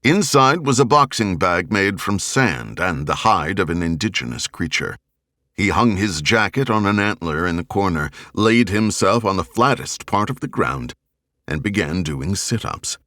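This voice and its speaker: Deep-voiced man narrating a novel, swooping intonation. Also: animated, bright, forceful tone, dry recording, studio quality. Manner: measured, grave, deliberate phrasing. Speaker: audiobook narrator. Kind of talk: scripted dramatic novel narration. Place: dry recording studio.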